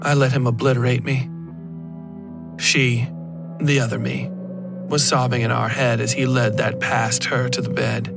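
A man's voice: mid-range voice, level tone, unhurried, creaky, pauses often. A man narrating scripted first-person horror fiction in a dramatic, intense manner. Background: music.

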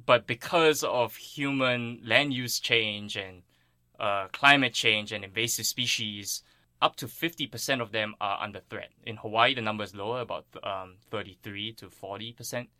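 A man's voice: on the low side, normal speed, bright, forceful tone, dry recording. A man from Singapore giving earnest, thoughtful explanations as an interviewed plant ecologist.

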